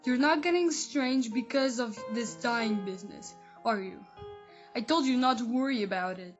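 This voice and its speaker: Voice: medium pitch, moderate pace. A woman playing a frank, skeptical but loyal child, a best friend on the phone in an audio drama. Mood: concerned, reassuring. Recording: muffled telephone-style sound, music behind.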